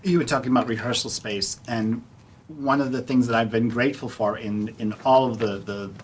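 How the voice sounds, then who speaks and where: A man in his sixties speaking casually on a panel, halting and repeating words as he goes. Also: medium pitch. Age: 60s. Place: live panel event in a room. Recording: faint room noise.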